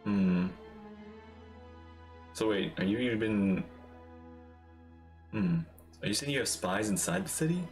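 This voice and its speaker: A man casually reacting to a story game as a streamer, thinking aloud in a halting way. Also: on the low side, animated, darkish tone. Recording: faint game music behind.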